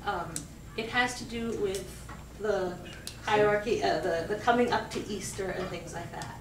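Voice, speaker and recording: A woman's voice: medium pitch, normal speed. A woman teaching a school class informally, prompting students with questions and repeating their answers. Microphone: distant video camera in the room. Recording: distant mic, echoey room, clear background noise. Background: classroom noise.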